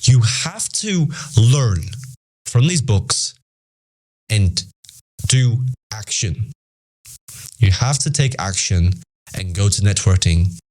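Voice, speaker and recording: A man's voice: on the low side, a little mellow. A young British man with a British accent, giving a casual motivational talk to teenagers, emphatic and repetitive. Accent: British English. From Britain. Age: young adult. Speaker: podcast host.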